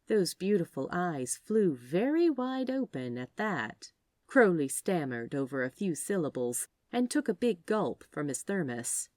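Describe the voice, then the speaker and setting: A woman reads fiction aloud as narrator. Her voice is low and moves through animated rises and falls. The sound is dry and free of room echo. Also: a little slow. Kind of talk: expressive scripted fiction narration.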